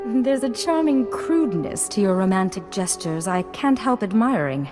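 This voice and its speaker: A woman's voice: medium pitch, animated, moderate pace, natural voice. An actress playing a refined, flirtatious noblewoman in a video game cutscene, speaking with formal poise. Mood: coolly flirtatious. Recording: long hall reverb, music behind.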